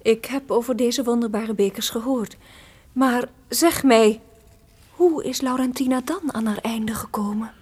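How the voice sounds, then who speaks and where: A woman's voice: fairly high pitch, measured pace, a little mellow, husky. An actress playing a proud, sorrowful captive young noblewoman in a formal, theatrical radio play, solemn and declamatory. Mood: earnest, guarded.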